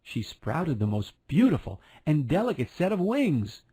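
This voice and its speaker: Animated man reading a fairy tale. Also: fairly high pitch, normal speed, slightly rough, no echo. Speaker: storyteller narrator. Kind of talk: scripted fairy tale narration.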